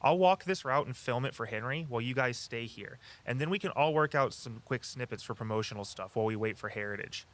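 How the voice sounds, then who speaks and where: An actor playing a practical tour guide in an audio drama. The voice sits at a middling pitch, with an articulate, dry and skeptical delivery. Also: a little fast, few pauses, close to an everyday voice. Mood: businesslike.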